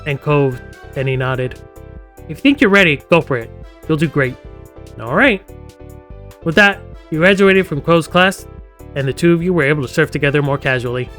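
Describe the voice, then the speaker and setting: Higher-voiced man streaming over music. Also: a bit bright. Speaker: game streamer. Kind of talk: live let's-play, reading story text aloud with casual asides. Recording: music playing behind the voice.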